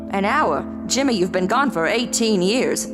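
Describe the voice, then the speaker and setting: A woman's voice: mid-range voice, expressive, moderate pace, lightly acted. A woman playing a weary, steadfast, aging survivor in an audio drama, solemn and dramatic. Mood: stunned. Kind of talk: radio drama acting. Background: loud guitar music.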